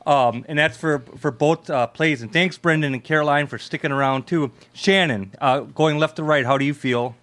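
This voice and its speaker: An American man hosting a live radio event, chatting off the cuff in a halting, repetitive, effusive way. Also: a little high, a bit bright. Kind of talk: casual, unscripted thanks and chat.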